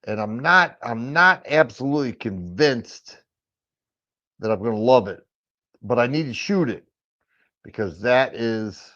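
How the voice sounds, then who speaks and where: A middle-aged man from Michigan with a raspy voice, hosting a casual firearms livestream and chatting with viewers, rambling and restarting his sentences often. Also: medium pitch, lively intonation, a little slow, pauses often, fairly bright tone. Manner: rambling, with frequent stammered restarts. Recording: dull lo-fi stream audio.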